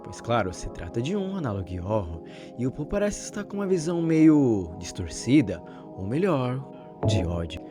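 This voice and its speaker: A young Brazilian man hosts a video commentary with lively, swinging intonation. He talks in a wordy, measured storytelling way. Prominent music runs behind his voice. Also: mid-range voice. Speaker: video essay host. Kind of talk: conversational scripted commentary. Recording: background music under the narration.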